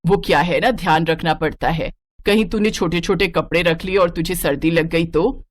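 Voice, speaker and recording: A woman's voice: fairly low pitch, moderate pace, close to an everyday voice. A woman voicing a strict, nagging middle-aged mother-in-law in a story, with a bossy, scolding manner. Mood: prying, sly.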